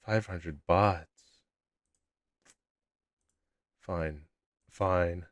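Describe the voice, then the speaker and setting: Low voiced young guy streaming, laid back and rambly, real dry close mic. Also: steady tone, very slow, no echo. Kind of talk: casual live-stream chat, reading chat aloud.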